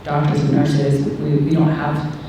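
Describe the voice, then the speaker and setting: A woman answers as a medical panelist in a very echoey hall, picked up from a distance. Her voice is low and mellow, and her delivery is candid and informal. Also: completely flat delivery, unhurried. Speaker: humanitarian doctor speaking as a panelist. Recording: echoey hall, distant mic, faint noise.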